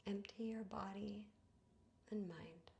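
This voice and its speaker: A woman guiding a meditation in a soothing, hushed manner, with breathy phrase endings and long pauses. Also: medium pitch, fairly even intonation. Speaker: yoga nidra guide. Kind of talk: guided meditation narration.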